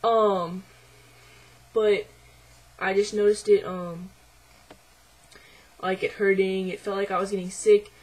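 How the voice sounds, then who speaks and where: A young man talking hesitantly to camera in a casual video diary, his voice midway through deepening and a little unsettled, with many ums and likes. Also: flat delivery, slow pace, pauses often. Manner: hesitant, lots of ums and likes, quiet and reflective. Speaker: vlogger.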